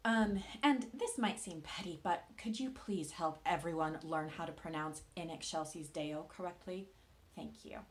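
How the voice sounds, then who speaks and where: An actress playing a hesitant, grieving young woman in a naturalistic monologue, praying awkwardly with earnest, halting, tentative speech. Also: deep voice, slight background noise, close to an everyday voice. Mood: earnest, sheepish. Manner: halting, tentative, with long pauses. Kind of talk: naturalistic contemporary dramatic monologue.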